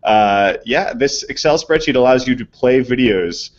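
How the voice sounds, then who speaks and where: A man's voice: mid-range voice, lively intonation, a little slow, lo-fi sound. A man co-hosting a casual tech chat, telling a story in an easygoing way. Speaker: co-host.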